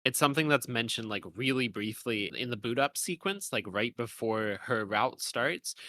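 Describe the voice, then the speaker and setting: A man's voice: fairly low pitch, bright, forceful tone. A man hosting a podcast in an analytical, conversational style, thoughtfully and somewhat hesitantly feeling out his ideas as he speaks. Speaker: podcast host. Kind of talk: conversational analytical discussion of a visual novel.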